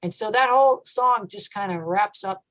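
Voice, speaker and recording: A woman metal singer with a low voice, talking casually in an interview, thoughtful and relaxed with many fillers. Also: moderate pace.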